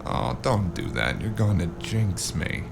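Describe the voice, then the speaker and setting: A man playing a wary amnesiac drifter in a noir audio drama, hesitant and wry, with a very deep, gravelly voice. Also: sing-song, measured pace, background noise, exaggerated voice. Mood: wary, placating. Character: wary amnesiac male drifter narrating his noir tale. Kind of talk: noir audio drama acting and first-person narration.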